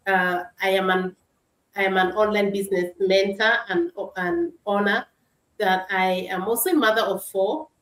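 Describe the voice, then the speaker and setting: A woman's voice: mid-range voice, steady tone, normal speed. Woman co-host in an echoey room. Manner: warm welcoming, repeats thanks, hesitant restarts. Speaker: co-host and business mentor. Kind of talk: live online talk show.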